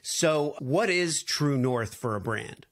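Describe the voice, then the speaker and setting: A man hosting a podcast, talking in a relaxed, conversational way as he introduces and questions his guest. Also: medium pitch, slow. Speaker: podcast host. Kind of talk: casual interview talk.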